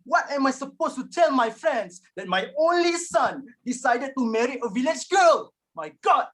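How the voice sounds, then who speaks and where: A man's voice: very high voice, big pitch swings, moderate pace, fairly bright tone, exaggerated voice. A man playing a wealthy, status-proud father, scornful and commanding, in a dramatic reading. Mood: outraged.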